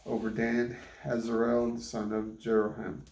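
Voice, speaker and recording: A man's voice: medium pitch, monotone, moderate pace, darkish tone. A man reading the Bible aloud as a father, stumbling over difficult names and retrying them. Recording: roomy echo, faint background noise.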